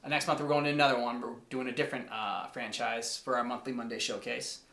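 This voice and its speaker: A man's voice: mid-range voice, flat delivery, fast talker, a bit bright. Casual male reaction host, echoey room.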